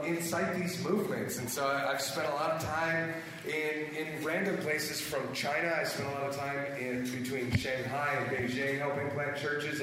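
A slightly hoarse man, a pastor, talks with animated energy to a conference audience, often restarting his sentences. His voice is on the higher side for a man. He is heard from a distance in a very echoey hall. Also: background noise. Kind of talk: conference panel talk.

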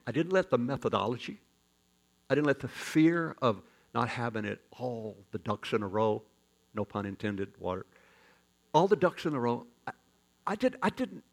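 Gravelly-voiced man preaching folksy sermon. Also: medium pitch. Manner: folksy, joking, animated preaching with sudden loud rises. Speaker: pastor. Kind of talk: storytelling sermon.

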